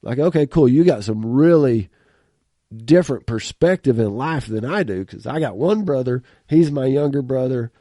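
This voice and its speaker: A man from rural small-town America hosts a podcast, talking with a stuffy nose. His voice is mellow and dark, and he talks in a folksy, rambling, laugh-prone way. The studio sound is close and dry. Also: medium pitch, lively intonation, moderate pace, no echo. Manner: folksy, talkative, laughs often. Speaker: podcast host. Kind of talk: casual interview chat.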